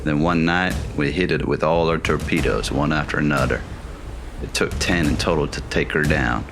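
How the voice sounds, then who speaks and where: A man playing a gruff, war-weary old soldier, telling his story in folksy, plain-spoken scripted narration. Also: extremely low pitch, normal speed, music in the background. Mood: grave, awed. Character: gruff, war-weary old male soldier recounting eerie wartime encounters. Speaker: voice actor. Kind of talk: scripted narration, film monologue voice reel.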